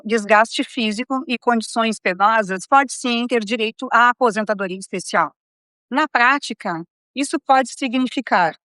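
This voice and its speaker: A middle-aged woman lawyer presenting a scripted legal advice segment, didactic and addressing the viewer directly in measured phrases. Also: a little high, fairly bright tone, no echo. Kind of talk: scripted explainer to camera.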